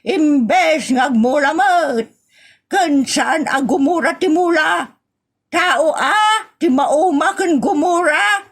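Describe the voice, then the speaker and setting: A woman performs an outspoken Ilocano village woman in a family comedy radio play. The voice sits fairly high and moves very slowly, stretching out its nagging exclamations. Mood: scolding. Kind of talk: Ilocano comedy audio drama acting.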